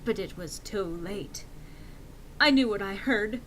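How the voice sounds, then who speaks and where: A woman's voice: medium pitch, animated, takes it slowly, slight background noise, low-quality recording. A woman giving an exaggerated dramatic reading of fan fiction in a theatrical manner. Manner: theatrical, swinging into shouts for quotes.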